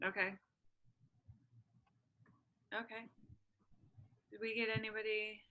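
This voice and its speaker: A woman hosting an online workshop over a video call, chatty and relaxed with casual fillers. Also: medium pitch, slow, muffled recording. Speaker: workshop host.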